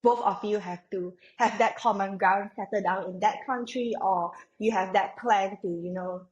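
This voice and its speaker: A woman's voice: medium pitch, fairly even intonation, normal speed, a little mellow, highs cut off. A young woman from Malaysia, a podcast guest, talking reflectively in a casual, rambling way with frequent fillers. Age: young adult. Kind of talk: casual conversational interview.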